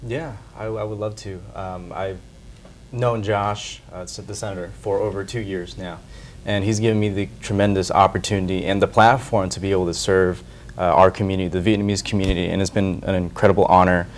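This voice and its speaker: In a TV studio interview, a young man with a very low, deep voice speaks softly and sincerely. He pauses with frequent "uh"s as he thanks his hosts and talks about his work, and a faint noise sits under the recording. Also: steady tone. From United States. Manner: soft-spoken, earnest, with frequent fillers and restarts. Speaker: senate staffer for community outreach. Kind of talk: interview answers, earnest and grateful.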